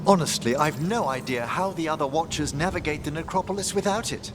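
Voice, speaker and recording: A man's voice: a little high, brisk, noisy background, close to an everyday voice. An actor playing a courteous, scholarly male necromancer in a video game, with formal, refined and articulate speech. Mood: polite, explaining.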